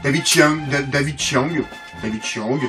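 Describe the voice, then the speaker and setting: A man's voice: medium pitch, unhurried, bright, forceful tone, faint music behind. A French man hosting a channel, narrating film history in a casual, joking way, often stumbling over names and mocking himself. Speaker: channel host and collector.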